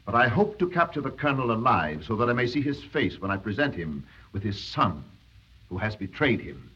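An actor playing a menacing rebel chieftain in a 1930s radio drama, gloating over captives with mock-courteous formality. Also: male voice, medium pitch, big pitch swings, a little fast, pushed and bright, slightly rough, full character voice. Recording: dull 1930s radio broadcast recording.

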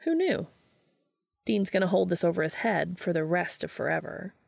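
A woman reading fiction aloud as an expressive scripted narration. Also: fairly low pitch, moderate pace, gravelly, no room sound, clean studio sound. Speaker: fan fiction reader.

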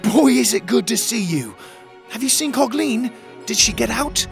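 A man voicing a plucky, excitable little hero in a children's fantasy story, speaking eagerly. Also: extremely high pitch, moderate pace, creaky, soft background music, pitched above the performer's own voice, heavily acted. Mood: excited.

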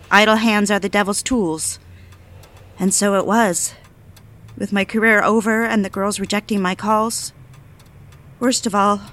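A woman's voice: medium pitch, a bit bright, close to an everyday voice. A woman playing a lonely, obsessive diarist in an audio drama, with a confessional, literary way of speaking. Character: lonely, bored, obsessive woman confiding to her diary. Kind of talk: dramatic first-person diary narration.

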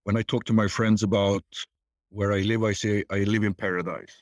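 An older man, answering interview questions in a reflective, halting, conversational way. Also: lowish voice, level tone, no echo, studio quality. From born in Tanzania, grew up there and in Sweden. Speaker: podcast guest.

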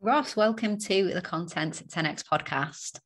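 A woman podcast host with a British accent and a fairly low voice. She reads a tidy scripted intro, then chats with her guest in an upbeat way, often ending on tag questions. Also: unhurried, fairly bright tone. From Canada, raised in the UK.

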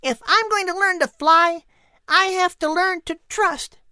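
A man's voice: very high-pitched, unhurried, pushed and bright, no room sound, clean studio sound, voice pushed up, full character voice. A man voicing a nervous young male eagle in an anxious, earnest way for a storybook. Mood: hesitant resolve.

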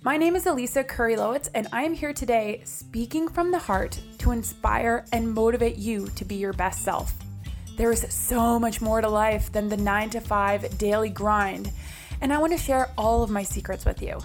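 A woman's voice: on the high side, moderate pace, soft background music. Bubbly woman podcast host in her thirties. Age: 30s. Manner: bubbly, chatty, laughs often. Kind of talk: upbeat motivational podcast monologue.

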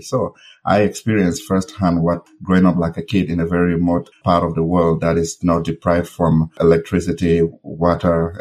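A man's voice: deep voice, a little mellow. A man in his forties from Cameroon, a nurse and public health expert, telling his story earnestly as a podcast guest. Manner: earnest, storytelling with fillers and restarts. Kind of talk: conversational interview.

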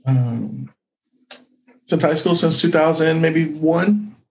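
A man's voice: fairly high pitch, slow pace, a little mellow, rough recording. A man in his thirties chatting casually and easygoing in a group talk. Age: 30s. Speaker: panel guest.

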